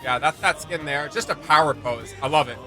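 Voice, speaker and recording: A man giving casual, enthusiastic reaction commentary as a video host, in an excitable, slangy and emphatic way. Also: fairly high pitch, brisk, over music.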